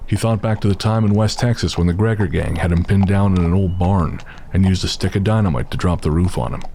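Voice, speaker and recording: A man's voice: deep voice, fairly quick, warm tone, slight background noise. A man giving scripted narration as the storyteller of a western horror audio drama. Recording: music bed behind the voice.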